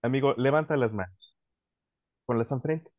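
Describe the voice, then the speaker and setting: A man's voice: medium pitch, moderate pace, no room sound, narrow, tinny audio, lightly acted. A man voicing a blunt male agent who gives curt commands in an audio drama. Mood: firm.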